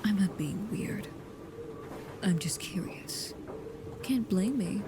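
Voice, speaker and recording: A woman playing a devoted, anxious, shyly affectionate vampire bodyguard in an intimate ASMR roleplay, speaking softly and haltingly, often near a whisper. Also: medium pitch, expressive. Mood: bashful, deflecting. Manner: hesitant, halting, soft-spoken, often dropping to a whisper. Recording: clearly audible background ambience noise.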